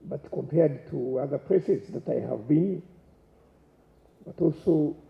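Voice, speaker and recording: A man's voice: a little high, takes it slowly, vocal fry. A man from Tanzania speaking as a conference panelist, hesitant and searching for words. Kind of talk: panel discussion talk. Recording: echoey hall, dull sound.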